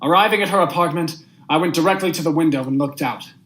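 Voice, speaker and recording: A man's voice: a little high, fast, fairly bright tone, natural voice. A young man playing a panicked, guilt-haunted judge who has killed, in a melodramatic vintage radio thriller style, stammering when rattled. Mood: haunted narration. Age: young adult. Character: panicked, guilt-haunted judge, murderer narrating his own downfall.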